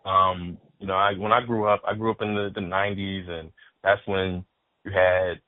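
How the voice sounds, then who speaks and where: Deep-voiced man, cursing and animated. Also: normal speed, no room sound. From Fort Worth, Texas. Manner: animated, profane, repeats words when excited. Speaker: guest. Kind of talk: casual podcast chat. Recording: dry, phone-like band.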